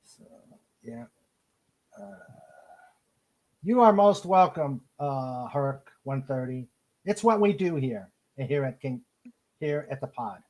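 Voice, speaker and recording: A man from Ohio with an American accent, hosting a casual live chat and often halting as he searches for words. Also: mid-range voice, lively intonation, a little mellow. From Cleveland, Ohio, United States. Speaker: podcast host. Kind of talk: casual live chat, reading out listener comments.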